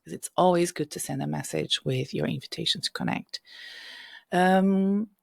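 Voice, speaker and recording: A middle-aged woman hosting a career-advice podcast, hoarse and husky from illness, speaking directly and coaching listeners with frequent "okay?" checks. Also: fairly low pitch, moderate pace, no echo, clean studio sound. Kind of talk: solo podcast advice talk. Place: home room.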